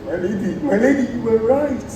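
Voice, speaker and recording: A man's voice: sits high, a little fast, warm tone, soft background music, lightly acted. A young man acting a deferential, scheming servant in a stage play. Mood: urgent, deferential. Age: young adult. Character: scheming, deferential male servant bearing gossip. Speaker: student stage actor. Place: theatre stage, recorded from afar. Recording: distant, echoey theatre with noise.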